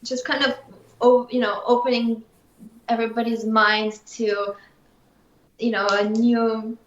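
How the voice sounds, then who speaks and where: A woman from Russia chats animatedly as an interview guest, with plenty of fillers. Her voice sits somewhat high, and the sound is a bit dull and echoes around the room. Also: unhurried. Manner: animated, laughs often, many fillers. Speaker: singer and lyricist, guest. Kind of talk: casual interview.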